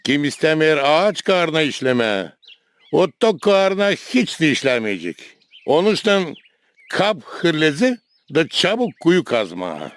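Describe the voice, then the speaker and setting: A man's voice: fairly high pitch, big pitch swings, unhurried. A man with a Gagauz accent playing a kindly, instructive grandfather in a children's cartoon. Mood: brisk, teasing.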